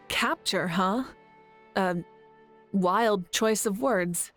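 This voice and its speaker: Brief: a composed leader, very slow and easy delivery, light teasing, faint music. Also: female voice, mid-range voice. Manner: unhurried, warmly teasing.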